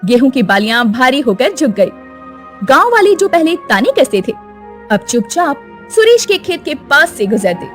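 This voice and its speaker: Female storybook narrator, lively pitch, light music bed. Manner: expressive storytelling.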